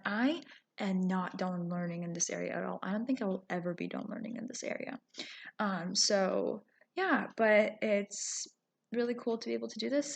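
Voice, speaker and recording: A young woman whose first language is Arabic talks to her viewers in a relaxed, conversational way. She fills her sentences with ums and "you know"s. Her voice has a noticeable vocal fry and sits at an ordinary pitch. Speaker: vlogger. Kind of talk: casual talking-to-camera vlog.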